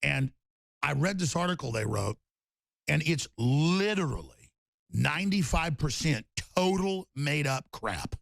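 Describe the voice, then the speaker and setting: A man hosting a talk radio show, raspy and torn-up in tone, rambling emphatically with loud bursts and low mutters. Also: big pitch swings, a little slow, no echo. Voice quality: raspy, gravelly voice. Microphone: studio microphone.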